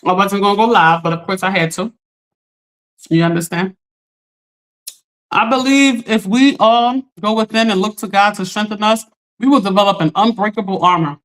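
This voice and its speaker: A woman's voice: moderate pace, pauses often, low-quality recording. A woman hosting a casual livestream, talking straight to her chat while she gets over a lost voice. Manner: chatty, talks straight to chat, animated pitch jumps. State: recovering from lost voice. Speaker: livestream host.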